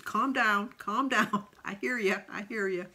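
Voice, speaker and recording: A woman's voice: mid-range voice, a little slow, sharp tone. A middle-aged woman talking casually and candidly in a personal vlog, rambling from one thought to the next. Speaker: vlogger.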